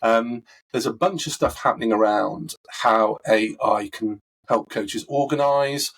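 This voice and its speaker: A man with a husky, recovering voice, answering interview questions in a thoughtful, conversational way with frequent fillers and restarts. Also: medium pitch, measured pace, fairly bright tone, rough recording. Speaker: coaching expert guest.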